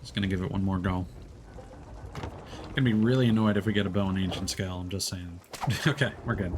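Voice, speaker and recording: A man's voice: low-pitched, fairly even intonation, a little fast, background noise. Chatty male streamer explaining game tips. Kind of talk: casual gameplay commentary.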